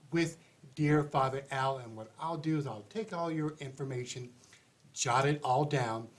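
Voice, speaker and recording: A man hosting an advice show, sounding congested and stuffy-nosed, talking in a rambling, chatty way with restarts. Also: medium pitch. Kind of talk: casual livestream monologue.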